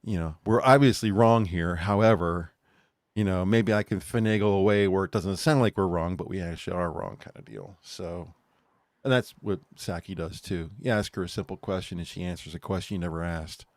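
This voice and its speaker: A man's voice: deep voice, brisk, no room sound. A man co-hosting an online talk show, rambling casually and laughing at his own jokes. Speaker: podcast co-host. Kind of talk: casual chat.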